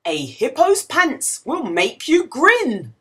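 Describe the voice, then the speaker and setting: A woman reading a picture book aloud to young children in an expressive, questioning storytelling manner. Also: high voice, slow. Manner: expressive, exclamatory, asks the listener questions.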